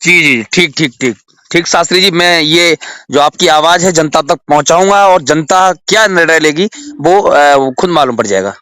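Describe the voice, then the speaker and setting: A man conducts a call interview in a fairly high voice. He is courteous and attentive in his replies. The sound is dry, with no room echo. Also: normal speed, pushed and bright, clean tone. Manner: polite, frequent acknowledging replies. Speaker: channel interviewer. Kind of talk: phone interview.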